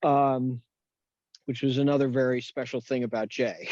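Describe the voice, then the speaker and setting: A man's voice: medium pitch, fairly even intonation, moderate pace, a little mellow, no echo. A man reminiscing casually and in a rambling way on a group video call. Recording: dull, dry video-call audio.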